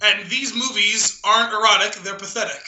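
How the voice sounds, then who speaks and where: Guy podcast co-host, really bright sharp voice, pitched high, amused, chatting n reading stuff out. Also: fairly even intonation, fairly quick, roomy sound, slight background noise, rough recording. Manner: amused, often breaking into laughter while reading. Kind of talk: casual chat with dramatic poem reading.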